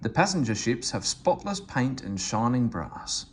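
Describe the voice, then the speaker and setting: A man reading a children's story aloud in an expressive, animated way. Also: medium pitch, measured pace, slight background noise. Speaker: storybook reader.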